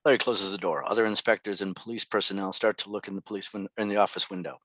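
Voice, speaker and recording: Bright, low-voiced man reading stage directions. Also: fairly even intonation, fast talker, no room sound. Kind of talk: scripted narration at a table read.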